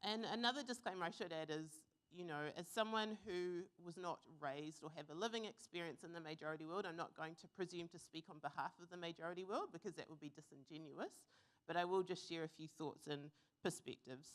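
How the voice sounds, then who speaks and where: A young woman from New Zealand giving an earnest, reflective conference talk, pausing with fillers now and then. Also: fairly low pitch. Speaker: conference speaker. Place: conference hall.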